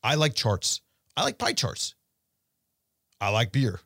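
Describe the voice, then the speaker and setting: A man hosting a financial talk show, speaking conversationally and emphatically to listeners, with rhetorical questions and deliberate pauses. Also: medium pitch, huge pitch swings, vocal fry, a bit bright, slightly rough, no echo, studio quality. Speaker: financial show host and portfolio manager. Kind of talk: conversational investment commentary addressed to listeners.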